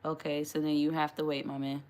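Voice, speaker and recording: A woman, hosting a casual live stream from home, chatty and quick to laugh, often restarting her sentences as she answers viewer comments. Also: sits low, level tone, a little mellow, a little hiss or hum. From New York City, USA. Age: 30s. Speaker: livestream host.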